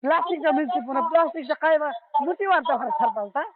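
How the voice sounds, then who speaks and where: A woman's voice: high-pitched, racing, dry recording. A woman chatting casually on a phone call, questioning and often checking the line. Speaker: caller. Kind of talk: casual phone chat in a local dialect. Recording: dry tinny phone line.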